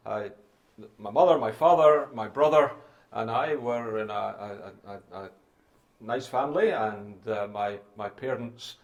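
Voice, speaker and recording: A middle-aged Scottish man, a guest answering interview questions in a measured, courteous way with some restarts. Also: lowish voice. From Scotland. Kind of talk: relaxed conversational interview.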